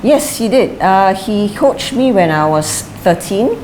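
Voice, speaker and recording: A middle-aged woman answering interview questions thoughtfully, pausing with fillers and restarting her sentences. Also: medium pitch, expressive, a little mellow, poor audio. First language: English is her first language; Chinese is her second. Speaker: interviewee, former sprinter and coach. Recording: light background noise.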